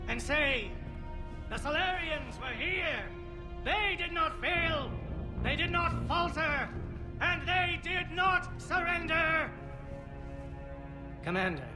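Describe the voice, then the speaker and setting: A resolute male alien officer from a video game, with a strikingly high pitch. His delivery is formal and oratorical, every phrase emphatic. Music plays clearly behind him. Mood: earnest, assured. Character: resolute male alien Salarian military commander. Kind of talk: rallying battlefield speech and orders.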